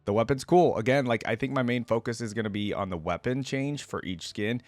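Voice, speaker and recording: A man's voice: mid-range voice, a little fast, a little mellow, dry recording. A man giving casual, informal, lightly amused commentary as host of a reaction video.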